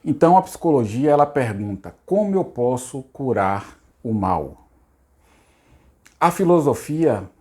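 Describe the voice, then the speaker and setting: A hoarse middle-aged man, a psychologist giving an unscripted self-help talk on spirituality, repeating himself and asking rhetorical questions. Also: medium pitch, dark tone. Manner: repetitive, rhetorical, casual asides. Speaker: psychologist hosting a channel.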